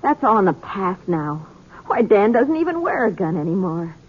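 A woman's voice: medium pitch, sing-song, moderate pace, breathy edge. An actress playing a cheerful frontier wife and mother, effusive and homey, in 1950s radio western style. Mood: earnest. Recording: muffled, noisy old radio recording.

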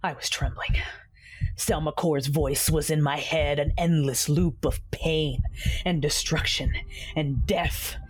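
A woman voicing a tormented young Sith woman. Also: slightly rough. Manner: intense, low, dropping to ragged whispers. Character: young Sith woman fighting the darkness inside her. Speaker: first-person narrator. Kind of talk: audio drama narration. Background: dramatic music.

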